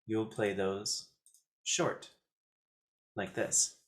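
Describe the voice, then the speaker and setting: Slow-paced man teaching piano step by step. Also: fairly low pitch, level tone. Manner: deliberate, counting out notes and fingerings in short phrases. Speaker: piano teacher, host of video lessons. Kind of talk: step-by-step instructional demonstration. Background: piano notes.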